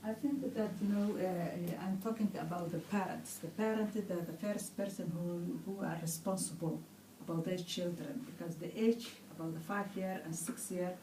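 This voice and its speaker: Mellow Somali-accented woman in an echoey room. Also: lowish voice, fairly even intonation, normal speed, hardly any pauses. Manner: non-native phrasing, frequent "you know". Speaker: guest, campaign ambassador. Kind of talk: earnest interview answers. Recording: roomy, distant mic, faint noise.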